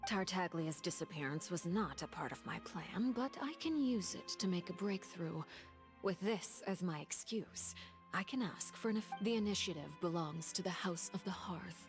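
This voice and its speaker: An actress playing a composed, calculating woman leader in a video game, speaking in a measured, formal way. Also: on the low side, animated, soft background music. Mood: commanding.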